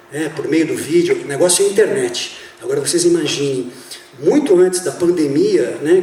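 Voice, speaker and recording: A man's voice: fairly high pitch, a little hiss or hum. Man telling stories in an echoey theater. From Brazil. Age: 50s. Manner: relaxed storytelling with many fillers. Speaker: university professor and drummer. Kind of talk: talk on stage. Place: theater hall. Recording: echoey theater, speaker far from mic.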